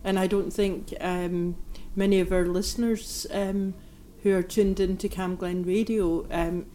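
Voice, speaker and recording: A woman's voice: medium pitch, level tone, unhurried, warm tone, a little hiss or hum, rough recording. A woman hosting a radio interview, asking questions in a thoughtful, empathetic way. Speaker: radio host.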